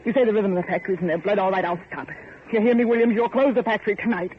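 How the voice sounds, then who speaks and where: An actor playing an idealistic, insistent factory heir in an old radio horror drama, with an earnest, pleading and commanding manner. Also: male voice, high-pitched, fairly even intonation, very fast, natural voice. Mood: firm resolve. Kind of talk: 1940s radio horror acting. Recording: muffled old radio recording, faint hiss.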